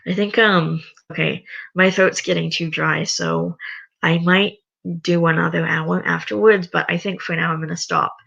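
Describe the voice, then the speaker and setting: A livestreamer reading a story aloud and chatting to viewers, voice going raspy. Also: medium pitch, steady tone, moderate pace, pushed and bright, highs cut off. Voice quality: voice getting raspy. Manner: rambling, self-correcting. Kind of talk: reading a story aloud, then casual chat.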